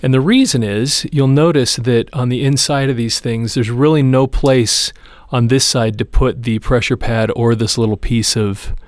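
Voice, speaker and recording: A man's voice: medium pitch, steady tone, moderate pace, few pauses, dry recording, clean studio sound. A man presenting a hands-on repair tutorial, explaining each step in an informal, plain-spoken way. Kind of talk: casual hands-on how-to demonstration.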